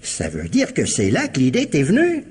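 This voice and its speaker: Sardonic bullying cop, rough husky mid-range voice, lively delivery, slangy, old-style radio drama. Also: male voice, a little high, normal speed, heavily acted. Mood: accusing. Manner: mocking, slangy, pressing. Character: sardonic, bullying male police interrogator. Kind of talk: French 1950s radio crime drama acting.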